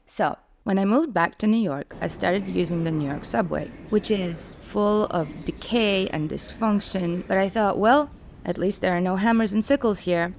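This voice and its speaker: A woman's voice: medium pitch, moderate pace, creaky, a little mellow, faint music behind. A young woman from Russia narrating an earnest, opinionated video essay as its host.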